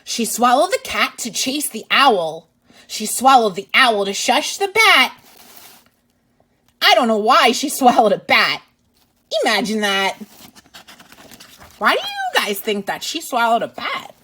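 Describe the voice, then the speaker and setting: A woman's voice: on the high side, big pitch swings, unhurried, pauses often, sharp tone, husky. A woman reading a storybook aloud to young children as a teacher and host, with exaggerated expression and asides to the listener.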